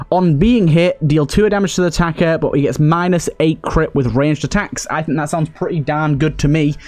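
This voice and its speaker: Male gaming host, slightly high voice, casual let's play commentary, chatty, thinking aloud. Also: a little mellow.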